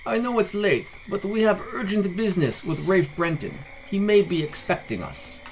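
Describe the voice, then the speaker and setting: A man's voice: high voice, lively intonation, moderate pace, a little hiss or hum, voice pushed up, rough recording. A courteous dragonborn hunter from a fantasy tale, played by a male narrator. He speaks with diplomatic composure in a raised voice that moves expressively. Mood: polite. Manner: polite, composed. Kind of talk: storybook character voice in fantasy narration.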